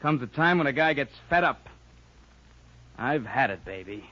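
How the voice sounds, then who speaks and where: An actor with an American accent playing an uneasy, weary musician in a 1950s radio drama. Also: male voice, mid-range voice, animated, moderate pace, pushed and bright. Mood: fed up. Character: uneasy, hesitant male musician, fed up with marriage. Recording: dull, lo-fi 1950s radio recording.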